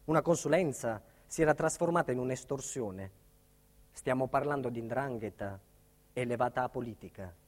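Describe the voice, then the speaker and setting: A man's voice: mid-range voice, moderate pace, lightly acted. A man playing a defiant, indignant building contractor, delivering an emphatic theatrical monologue about his ordeal. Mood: bitter explaining. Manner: emphatic, dramatic storytelling. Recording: reverberant hall with long echo.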